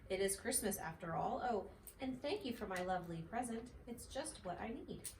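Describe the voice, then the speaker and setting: A woman plays an old male squirrel from a children's storybook, a former grump turned soft and grateful. The voice is lifted above everyday talk and heard a little way from the mic in an echoey, faintly noisy room. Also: mid-range voice, animated, normal speed, far from the mic, lightly acted. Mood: cheerful, grateful.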